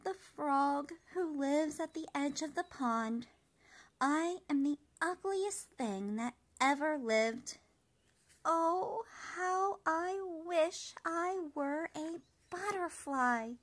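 A woman doing a high, plaintive little boy cricket. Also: animated, very slow, full character voice. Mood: sad, wistful. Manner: plaintive, wistful. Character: sad, self-doubting little young male cricket. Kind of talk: storybook character reading.

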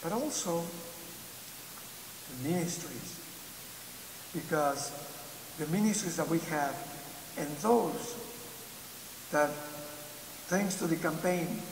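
Older man, church leader, slow measured delivery, fairly high voice, long church echo, faint hiss. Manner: slow, measured, with pauses and fillers. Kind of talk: recorded video message. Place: video played on a screen in a large church. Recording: echoey church hall with hiss.

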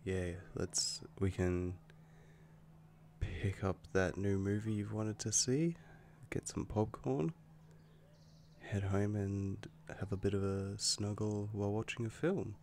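A man playing an affectionate, protective, easily angered young werewolf boyfriend in an intimate ASMR roleplay, with a hesitant, halting delivery. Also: on the low side, fairly even intonation, darkish tone, slight background noise. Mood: relaxed, affectionate. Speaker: roleplay audio performer.

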